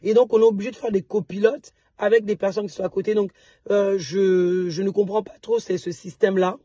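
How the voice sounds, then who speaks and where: A woman from DR Congo, an entrepreneur chatting casually to her viewers in a vlog. She is slightly hoarse and often restarts and repeats words. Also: mid-range voice, fairly even intonation, a little fast, warm tone, dry recording, clean studio sound. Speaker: entrepreneur and vlogger.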